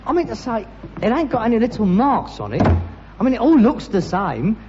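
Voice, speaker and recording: Gullible London scrap dealer: swooping, emphatic, scornful, putting on airs; echoey old sitcom recording. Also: male voice, sits high, sing-song, a little fast. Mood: scornful, reassuring. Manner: scornful, emphatic, mock-genteel. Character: argumentative, gullible, aspiring rag-and-bone man, the son. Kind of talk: British sitcom acting. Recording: muffled, noisy, echoey old broadcast recording.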